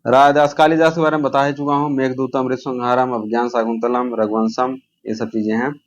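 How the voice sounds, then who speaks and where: A man's voice: medium pitch, flat delivery, a little fast. A man teaching an exam-prep lesson, asking quiz questions and answering them himself, repeating the key answers. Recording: dull lo-fi audio.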